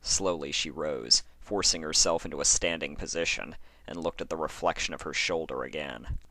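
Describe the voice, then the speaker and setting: A man reading a horror story as narrator, in a measured and dramatic storytelling manner. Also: rumbling low, pushed and bright, no room sound. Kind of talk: scripted narration of horror fan fiction.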